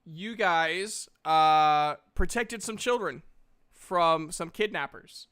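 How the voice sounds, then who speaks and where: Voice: mid-range voice, slow pace, fairly bright tone, clean tone. A game master hosting a livestream, chatting casually and recapping a tabletop game in an informal, sweary way. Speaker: game master and stream host.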